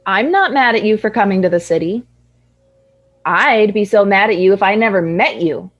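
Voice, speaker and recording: Actress doing a young best friend, soft, consoling, muffled sound. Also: female voice, medium pitch, normal speed, close to an everyday voice. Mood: affectionate. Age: young adult. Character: young woman, loyal best friend, warm-hearted. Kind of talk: radio drama acting.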